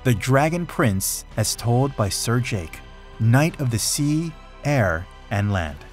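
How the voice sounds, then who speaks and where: A man reading a rhyming children's picture book aloud in an expressive, dramatic storytelling manner. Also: mid-range voice, slow pace, soft background music. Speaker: storybook reader.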